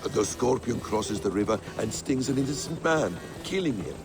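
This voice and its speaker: An actor playing a guarded, cunning mentor warrior in a video game, speaking in a measured, cryptic storyteller's manner. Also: male voice, mid-range voice, expressive, over music, lightly acted. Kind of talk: dramatic video game acting.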